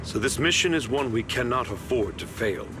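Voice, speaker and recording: A smug, pragmatic general, acted by a man for a video game, talks down to everyone with pompous, veiled sarcasm. His delivery swings widely in pitch, over clear background noise. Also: expressive, moderate pace, close to an everyday voice. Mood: patronizing, self-satisfied. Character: smug, condescending male major general, pragmatic and commanding. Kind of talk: scripted video game voice acting.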